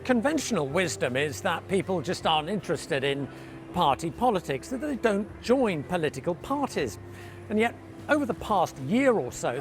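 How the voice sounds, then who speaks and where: High-pitched, animated male correspondent. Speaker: political correspondent. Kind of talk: scripted news report narration.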